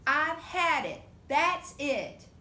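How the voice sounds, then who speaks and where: Stern mama monkey played by a woman reader, high voice, loud, emphatic, scolding, storybook character, roomy echo. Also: big pitch swings, extremely slow, heavily acted. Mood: scolding, exasperated. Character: stern, exasperated mother monkey laying down bedtime rules. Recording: roomy echo with faint low rumble.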